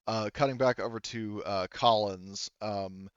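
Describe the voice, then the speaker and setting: A man narrating a tabletop roleplaying game as game master, informal and halting, often correcting himself. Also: lowish voice, steady tone, clean tone, no echo, highs cut off.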